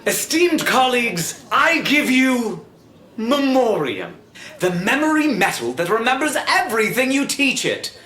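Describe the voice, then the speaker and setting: A man's voice: very high-pitched, big pitch swings, unhurried, bright, forceful tone, full character voice. An actor playing a pompous scientist showman in an audio drama, presenting an invention with theatrical, salesmanlike grandeur. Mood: proud, grandiose. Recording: roomy, echoing hall sound.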